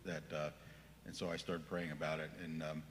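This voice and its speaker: Panelist, man, very low and quiet, in a distant echoing hall. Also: flat delivery, normal speed, slight background noise. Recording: echoey hall heard from afar.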